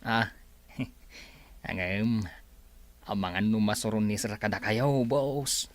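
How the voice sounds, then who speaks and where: An actor playing a deferential, inquisitive employee in a radio drama. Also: male voice, lowish voice, slow pace. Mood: curious, deferential. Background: music.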